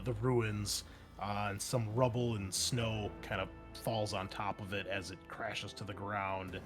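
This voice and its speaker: A man working as game master, narrating a tabletop roleplaying game in an informal, wry and exclamatory way. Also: medium pitch.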